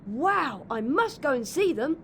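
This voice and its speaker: Earnest English schoolboy, game role: high pitch, animated, well-mannered, music underneath. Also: female voice, measured pace, a little hiss or hum, heavily acted. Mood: polite, curious. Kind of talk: video game voice acting.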